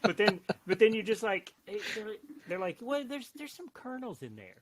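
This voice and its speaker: A man talking casually as a writer on a podcast, rambling and self-deprecating in an animated way. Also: high voice, moderate pace, a crowd in the background. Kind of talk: casual podcast chat about writing. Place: home office, on a remote call.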